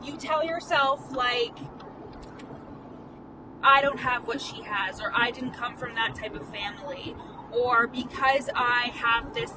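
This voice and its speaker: A woman's voice: on the high side, slow. A woman in her late twenties, a life coach who stutters, chatting candidly in a casual vlog monologue while she drives, full of "like" fillers and the odd swear. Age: late 20s. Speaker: life coach and podcast host. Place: in a car. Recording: distant phone mic in a noisy car. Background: car and road noise.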